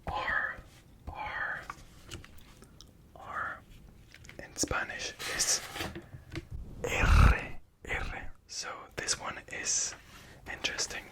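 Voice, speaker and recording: Slow, soft whispering language tutor. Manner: whispering softly, repeating each sound slowly; patient, gently encouraging. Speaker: Spanish teacher. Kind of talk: whispered ASMR language lesson.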